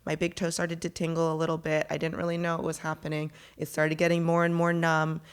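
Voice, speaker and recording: A woman in her thirties, a ballerina and interview guest, who talks candidly and easily, often says "um" and "like", and sounds a little raspy and hoarse today. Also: low voice, fairly quick. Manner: candid, relaxed, laughs often, frequent "um" and "like". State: slightly hoarse from teaching. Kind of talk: casual interview chat.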